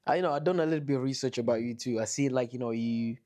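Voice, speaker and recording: A man's voice: medium pitch, racing, darkish tone. A man hosting a casual interview with a mixed accent. He is chatty and leans heavily on "you know". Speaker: host.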